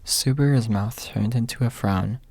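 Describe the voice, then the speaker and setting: A man's voice: medium pitch, moderate pace, creaky, a little mellow, husky, no room sound. A man reading a story aloud as an ASMR audiobook narrator, close to the microphone.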